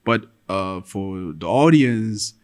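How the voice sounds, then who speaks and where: A man hosting a podcast interview, asking questions in a measured way with frequent fillers and short affirmations. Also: fairly low pitch, lively intonation. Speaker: podcast host.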